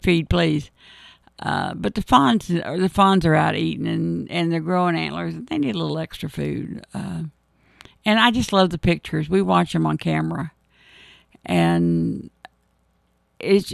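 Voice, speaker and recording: A hoarse middle-aged woman hosting a radio show, chatting in a rambling, homespun way with many fillers. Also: fairly low pitch, fairly bright tone, no room sound, clean studio sound. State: hoarse, froggy voice. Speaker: radio host. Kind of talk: casual on-air chat.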